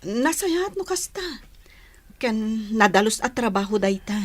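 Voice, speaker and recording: A woman's voice: a little high, very animated, measured pace, no echo. A woman acting a long-suffering young Filipino wife and mother in a radio drama. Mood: gently hopeful.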